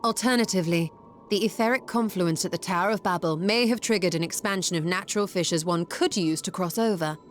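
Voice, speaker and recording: A woman's voice: lowish voice, brisk, soft background music, close to an everyday voice. A woman acting a composed, analytical scholar in a video game cutscene, with precise, formal delivery. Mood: thoughtful.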